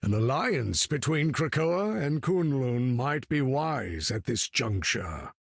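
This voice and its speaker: An actor playing a proud, commanding old male mutant leader in a video game, with a lofty, condescending manner and a husky, gravelly voice. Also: medium pitch, big pitch swings, slow, dry recording, studio quality, full character voice. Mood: imperious. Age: older.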